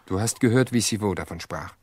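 An actor playing a calm brother-in-law in a radio drama, soothing and dismissive in a measured way. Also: male voice, on the low side, husky, no room sound. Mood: probing. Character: calm, reassuring brother-in-law, reasoning away suspicion.

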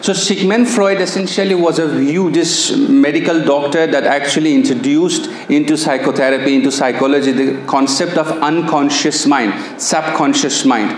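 A man in his thirties with a Pakistani accent presents a seminar, his voice sitting a little high. He talks loosely and keeps pulling the audience in with questions. The handheld mic catches plenty of room echo over a faint hum. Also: steady tone, moderate pace, few pauses. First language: Persian. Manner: chatty, engaging, asks audience questions. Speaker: NLP coach and trainer. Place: seminar room with projector screen. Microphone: handheld microphone.

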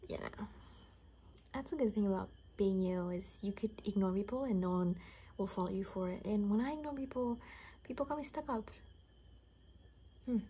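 A young woman doing an improvised ASMR roleplay in a soft, often whispered voice, rambling and repeating words in a hushed, unhurried way. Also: mid-range voice, husky, rough recording. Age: young adult. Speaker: ASMR creator playing a caretaker. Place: small bedroom, indoors.

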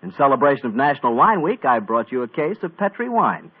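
A man's voice: mid-range voice, sing-song, fast talker. Upbeat young announcer man on old radio. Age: young adult. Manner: upbeat, smooth salesman patter. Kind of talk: 1940s radio commercial announcing. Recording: tinny old radio broadcast.

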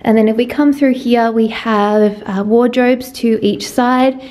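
A woman's voice: on the high side, steady tone, measured pace, a little mellow. A young woman chatting casually and cheerfully as she walks the camera through her empty apartment, laughing often. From Australia. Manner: chatty and upbeat, with frequent laughs. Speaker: vlogger. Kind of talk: casual apartment tour vlog. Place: empty new apartment, indoors. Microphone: handheld camera at arm's length. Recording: echoey empty rooms.

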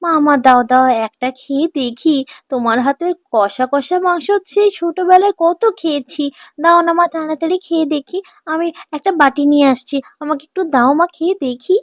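A woman voicing an eager youngster in a cartoon, pleading with mother in a hurried way. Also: high-pitched, no echo, clean studio sound, close to an everyday voice. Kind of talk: cartoon voice acting.

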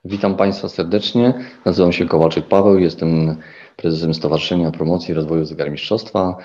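A man's voice: low voice, steady tone, brisk, warm tone, muffled recording. A middle-aged man giving a formal welcome address as an association president, with occasional slips and restarts.

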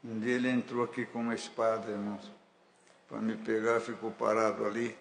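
Low-voiced Brazilian man preaching in an echoey church. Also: level tone, fairly quick, creaky, a little hiss or hum. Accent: Brazilian Portuguese. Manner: fervent, rising to emphatic declarations. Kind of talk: sermon. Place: church hall. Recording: echoey church hall with faint ambience.

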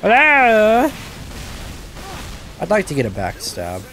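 A young man talks through his own game session, his pitch swinging wildly as he yelps and laughs at each moment. His voice sits a bit higher than most men's and sounds a little stuffed up. A faint hiss runs behind him. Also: slow. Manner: excitable, yelps and laughs at the action. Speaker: gaming channel host. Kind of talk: live gameplay commentary.

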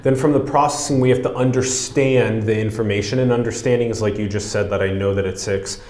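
A man's voice: on the low side, fairly even intonation, brisk, darkish tone, echoey room. A man in his thirties hosting an interview, talking casually and restarting phrases, on a lapel mic in a small studio. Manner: casual, restarts phrases, walks through demos. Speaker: podcast host. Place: small studio set with whiteboard.

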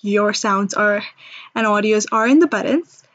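A woman narrating an informal screen-recorded software demo in a chatty, reassuring, step-by-step way. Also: medium pitch, unhurried. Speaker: software demonstrator.

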